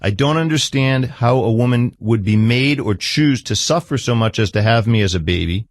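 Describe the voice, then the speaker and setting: A man's voice: mid-range voice, lively intonation, normal speed, no room sound. Insistent man in a dry, close recording. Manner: insistent, presses and interrupts. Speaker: therapist interviewer. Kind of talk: probing therapy-session conversation.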